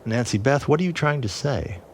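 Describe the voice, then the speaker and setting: A man's voice: mid-range voice, expressive, moderate pace, a little hiss or hum, close to an everyday voice. A man playing an exasperated, principled middle-aged father in a period audio drama.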